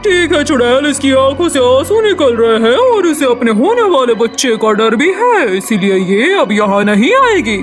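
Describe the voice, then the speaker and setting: A woman's voice: high-pitched, sing-song, normal speed, hardly any pauses, faint music behind. A woman voicing a frightening, commanding demoness in a cartoon, stern and menacing. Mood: stern, relenting. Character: terrifying female demoness, menacing but able to relent. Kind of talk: Hindi cartoon character voice.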